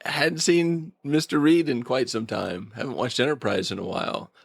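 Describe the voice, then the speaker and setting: Creaky-voiced man chatting casually. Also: mid-range voice, normal speed, dry recording, studio quality. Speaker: podcast co-host. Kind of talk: casual conversational TV episode review.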